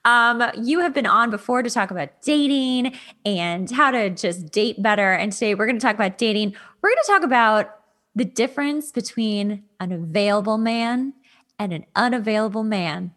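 A woman's voice: on the high side, moderate pace, a bit bright. Casual woman hosting a chatty podcast. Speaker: podcast host. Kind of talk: casual podcast chat about dating.

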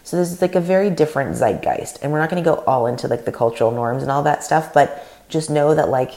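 A woman's voice: deep voice, fast talker. A Canadian-born woman in her thirties, whose first language is Russian, hosting a solo podcast in an earnest, rambling way. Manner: earnest, animated, rambling with restarts. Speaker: podcast host and leadership coach.

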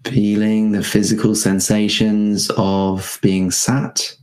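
A man guiding a meditation in a gentle, unhurried manner, leaving spacious pauses between short phrases. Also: fairly low pitch, flat delivery. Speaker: meditation guide. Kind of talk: guided meditation.

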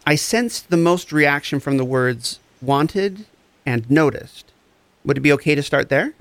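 An actor playing a gentle, encouraging empath therapist, speaking in a reassuring, deliberate way in a scripted audio drama. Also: male voice, a little high, expressive, no echo. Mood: tender, encouraging. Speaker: actor in a fiction podcast.

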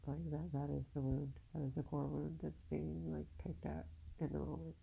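A woman podcaster speaking off the cuff in a halting, confessional way, candid and casually profane, with a low husky voice and a downcast, weary air. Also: little pitch movement, warm tone, rough recording. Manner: halting, searching, with long pauses. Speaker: podcast host. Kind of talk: unscripted personal monologue, confessional.

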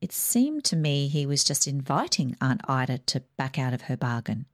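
A woman reading a storybook aloud in a lively, expressive narration. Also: rumbling low, moderate pace. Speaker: audiobook reader.

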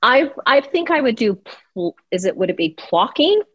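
A woman's voice: a little high, very animated, normal speed, fairly bright tone, breathy edge, dull audio. A woman co-hosting a show from home over a remote call, answering in short eager replies and giggling often. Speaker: co-host. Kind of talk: casual chat.

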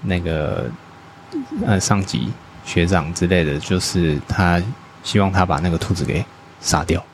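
Very deep-voiced man, hesitant. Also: gravelly, a little hiss or hum. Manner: hesitant, many filler pauses, agreeing with repeated "yes, yes". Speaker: guest artist, toy brand owner. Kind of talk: casual podcast interview.

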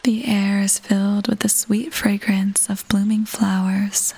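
A woman narrating a scripted guided meditation in a soft, breathy near-whisper, soothing and gentle, pausing between phrases. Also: mid-range voice, level tone, low-quality recording. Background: soft new-age music.

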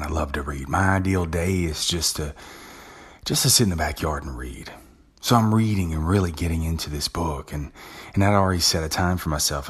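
A man's voice: sits low, slightly rough. A man hosting his own podcast, talking through a personal story in a casual, conversational monologue with frequent restarts. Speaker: podcast host. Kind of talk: motivational monologue.

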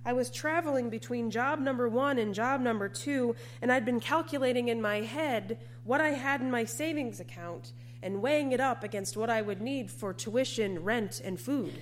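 Higher-voiced woman preaching over background hum. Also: normal speed, few pauses. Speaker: preacher giving a sermon. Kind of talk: scripted sermon.

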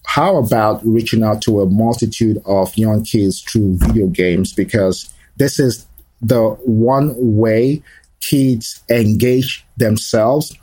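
A middle-aged man from Nigeria, a podcast guest recounting his life in relaxed talk full of "you know". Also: fairly low pitch, slow pace, no room sound, low-quality recording. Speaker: guest, small business owner. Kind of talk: conversational life story.

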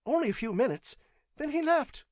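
A man voicing a cowardly, frightened clerk in an audiobook, shrill, pleading and stammering with nerves. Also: sing-song, normal speed, a little mellow, no echo, studio quality. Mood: nervous, evasive. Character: whimpering terrified clerk, a weak cowardly man.

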